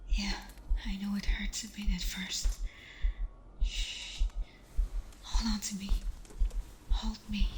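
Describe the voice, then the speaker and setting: A woman playing a tender, protective vampire girlfriend in an intimate ASMR roleplay, speaking softly and breathily with a hesitant, reassuring manner. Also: medium pitch, flat delivery, takes it slowly, long pauses, natural voice. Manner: soft, breathy, hesitant, reassuring, often dropping toward a whisper. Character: tender, protective young female vampire girlfriend, secretive and self-doubting.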